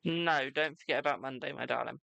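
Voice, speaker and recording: A British tarot reader hosting a live stream, chatting casually and answering viewers' questions with a brisk "my darling". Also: mid-range voice, creaky, bright, forceful tone, dry recording, lo-fi sound. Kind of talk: casual live-stream chat and card readings.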